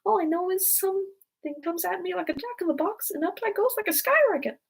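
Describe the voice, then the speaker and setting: A woman voicing a feeble, flustered little old male creature from a storybook, squeaky and rambling. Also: expressive, a little fast, vocal fry, heavily acted.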